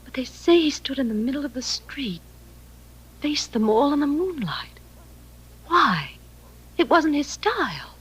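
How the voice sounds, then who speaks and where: An actress playing a gentle, questioning frontier woman in an old radio western, breathy and husky. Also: sits high, big pitch swings, measured pace, pauses often, exaggerated voice, rough recording. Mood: wistful. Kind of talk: 1950s radio western acting.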